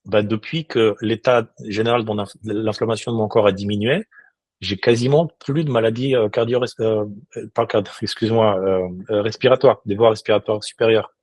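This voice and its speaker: Un homme d'une quarantaine d'années, invité d'un podcast, qui parle sérieusement avec beaucoup d'hésitations et de reprises. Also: male voice, fairly low pitch, fairly even intonation, brisk, darkish tone, no echo. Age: 40s. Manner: earnest, many restarts and hesitations. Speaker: guest. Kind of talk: casual interview talk.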